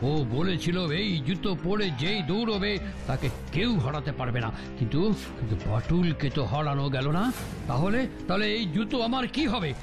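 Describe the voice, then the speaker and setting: A man playing a cunning, excitable sports coach in a cartoon dub, emphatic and given to repeating words. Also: sits high, fairly quick, over music. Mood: furious, frantic. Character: scheming male running coach, excitable and cunning. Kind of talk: cartoon dubbing.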